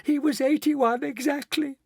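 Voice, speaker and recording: A man voicing a hysterical, panicked middle-aged woman in a shrill, wailing storybook voice. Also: steady tone, a little slow, gravelly, darkish tone, dry recording, clean studio sound, exaggerated voice. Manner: wailing, frantic.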